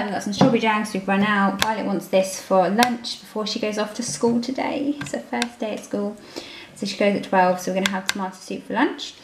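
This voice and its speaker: British woman, roomy homemade vlog sound. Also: medium pitch. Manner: informal, chatty. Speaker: family vlogger. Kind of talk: casual grocery haul chat, voice-over to handheld filming. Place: indoor room at home. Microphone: handheld camera microphone. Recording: roomy, noisy home camera recording.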